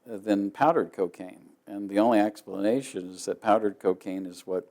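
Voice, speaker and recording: A man's voice: on the low side, normal speed, a little mellow. A man giving conversational interview commentary, explaining and correcting himself as he goes. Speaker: documentary interviewee.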